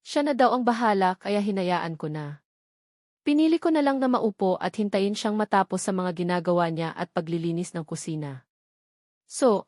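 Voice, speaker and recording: A woman's voice: mid-range voice, no echo. Woman narrating romance, dry sound. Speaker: audiobook narrator. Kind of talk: scripted first-person romance narration.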